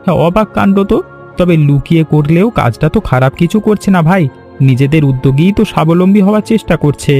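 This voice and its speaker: A man voicing an earnest, kindly but anxious elder brother in an animated story. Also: on the high side, fast, soft background music. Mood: reassuring.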